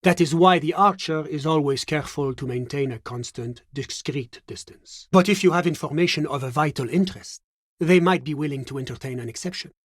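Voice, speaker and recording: An actor playing a suave, evasive government bureaucrat in a video game, with a French accent and a formal, polite, measured delivery. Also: male voice, on the high side, dry recording, clean studio sound, close to an everyday voice. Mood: smooth, evasive. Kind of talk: scripted game character dialogue.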